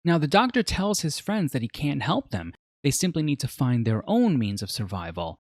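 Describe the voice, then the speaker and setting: A man's voice: mid-range voice, big pitch swings, normal speed, a little mellow, no room sound, studio quality. A man narrating a scripted video essay as a media reviewer, telling the story in a dramatic, reflective way.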